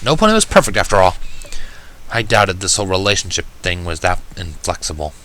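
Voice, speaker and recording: A man narrating a first-person story in an audiobook style, reading in a measured, wry manner. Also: deep voice, sing-song, sharp tone. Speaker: fan fiction reader.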